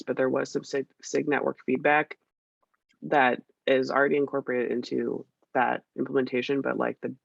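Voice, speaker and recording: A woman with a cold talks through a work update on a video call, her voice scratchy and gravelly. She hesitates often and fills pauses as she goes. The call audio is dull and dry. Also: extremely low pitch, dry recording. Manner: chatty, hesitant, many fillers. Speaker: meeting presenter. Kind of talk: casual work meeting update.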